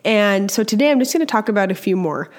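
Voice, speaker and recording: A young woman with a slightly hoarse, scratchy voice from a cold, hosting a casual educational podcast and chatting with lots of fillers. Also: medium pitch, lively intonation, quick pace. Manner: chatty, with many fillers and restarts. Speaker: podcast host and early childhood educator.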